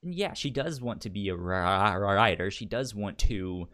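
A man's voice: lowish voice, animated, moderate pace, fairly bright tone. Stammering man chatting about a book. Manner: stammers on word starts, repeats words. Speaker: podcast co-host. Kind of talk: casual book club chat.

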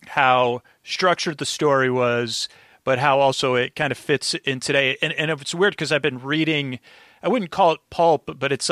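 A man talks casually as a podcast guest. His voice is bright and crisp-edged, recorded very dry. He rambles in stops and starts, often repeating a word before finding his way on. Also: mid-range voice, dry recording. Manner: rambling, halting, stutters over words, circles back. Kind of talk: casual conversation, recapping an old radio show.

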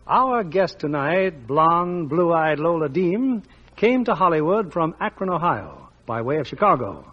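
A man's voice: fairly high pitch, very animated, a little slow, slightly rough. A man announcing from a script in the style of 1940s radio. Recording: dull old radio recording.

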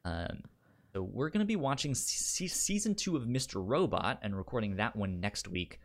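A man chatting casually as a podcast co-host, stuttering on word onsets and often repeating words and syllables in a halting way. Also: on the low side, expressive.

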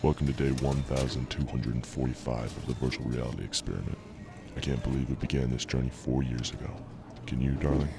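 Man acting a gravelly, very deep-voiced obsessed inventor. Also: flat delivery, fairly quick. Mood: proud. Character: obsessive visionary male inventor, devoted husband and father. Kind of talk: audio drama acting, partly recorded log narration. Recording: noisy amateur podcast recording.

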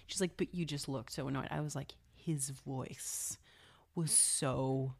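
A man co-hosting a casual talk show, talking in an animated way, often tagging on a rhetorical "right?" and slipping in jokes. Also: mid-range voice, a little slow, creaky. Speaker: podcast co-host. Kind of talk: casual conversational chat.

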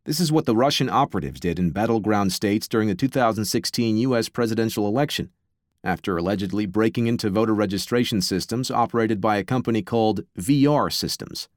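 A man's voice: lowish voice, fast talker, no echo, clean studio sound. A man presenting a podcast with scripted narration, wry and blunt in manner.

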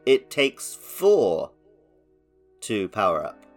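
A man with a fairly low voice talks casually as a podcast co-host. His style is conversational game discussion, and music plays behind him. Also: huge pitch swings, drawn-out words.

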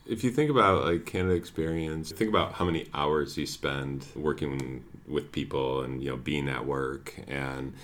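A man, a talent acquisition director, giving relaxed, casual interview answers full of "like" and "you know". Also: a bass voice, normal speed, hardly any pauses.

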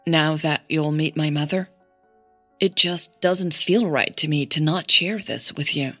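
A woman's voice: low-pitched, a little slow, a bit bright, no room sound, soft background music, heavily acted. A woman playing an awkward, earnest, chatty woman in an audio drama, hesitant in how she talks.

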